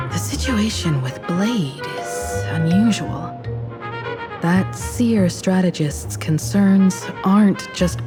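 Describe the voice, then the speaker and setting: An actress playing a poised, mature woman who leads her companions with calm authority in a video game, speaking deliberately. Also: on the low side. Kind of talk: video game character dialogue.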